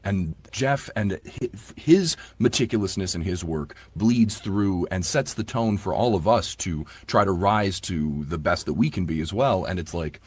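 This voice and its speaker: A man's voice: low-pitched, expressive, moderate pace, hardly any pauses, a little mellow, husky, dry recording. A man speaking casually and earnestly as an interview guest on audiobook work, often padding his sentences with "you know.". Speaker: guest, audiobook narrator and producer.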